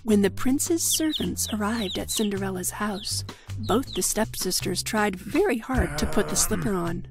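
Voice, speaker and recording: A woman's voice: medium pitch, animated, unhurried, over music. A woman with an American accent narrating a scripted fairy tale for children. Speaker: storyteller.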